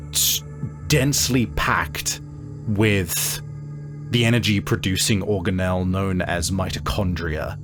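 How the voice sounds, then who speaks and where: A man hosts a tabletop roleplay podcast as its game master. His voice sits a little low, and he talks in a casual, conversational way. Faint music runs behind him. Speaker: game master and show host.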